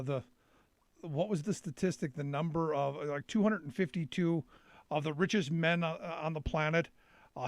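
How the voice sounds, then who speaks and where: Indignant talk show host, dry sound. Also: male voice, fairly high pitch, no echo. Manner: indignant, stammering. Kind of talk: live show interview.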